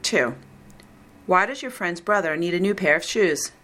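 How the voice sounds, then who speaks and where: Woman, exam reader, slightly low pitch, slow deliberate dictation, even pacing. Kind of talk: reading a recorded listening test script.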